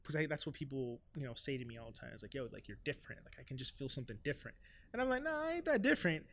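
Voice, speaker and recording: A man in his thirties from South Florida with a high-pitched voice, chatting loosely, with lots of "like" and "right?". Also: big pitch swings, very fast, fairly bright tone, a little hiss or hum. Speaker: guest musician. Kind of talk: casual podcast conversation.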